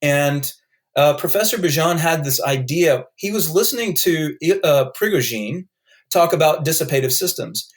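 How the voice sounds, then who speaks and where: A man's voice: a little high, animated, moderate pace. A man with a North Carolina accent, a guest author explaining his ideas in a podcast interview, thoughtful and full of restarts.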